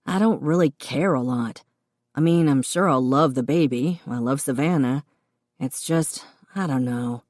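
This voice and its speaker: A woman voicing a young man with a low, deadpan, dry-humored way of talking. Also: measured pace, no echo.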